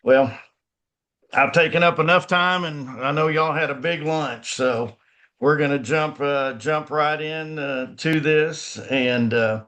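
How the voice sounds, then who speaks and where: Folksy man, company founder, video-call presentation, a touch high-pitched, lots of ums. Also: slow, bright, forceful tone. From Northwest Arkansas, US. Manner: folksy, many filler words and restarts.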